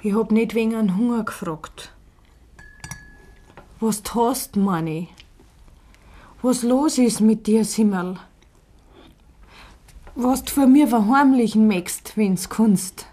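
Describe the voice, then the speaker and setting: A woman's voice: a little high, slow, pauses often, mellow. An actress playing a worried, probing countrywoman in a Bavarian dialect radio play. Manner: insistent, reproachful. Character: worried, probing countrywoman confronting her man at table.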